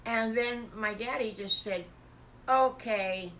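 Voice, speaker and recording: A woman's voice: on the high side, slow pace, fairly bright tone, slight background noise. A woman voicing an eager, innocent young wolf boy in a children's story, with a childlike, earnest way of talking. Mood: matter-of-fact, sad.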